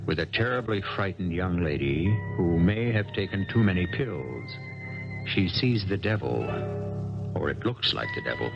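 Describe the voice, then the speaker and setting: Deep gravelly radio drama host. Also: male voice, lively intonation. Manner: measured, wry storyteller. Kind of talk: scripted narration. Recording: dull lo-fi 1970s radio broadcast with music.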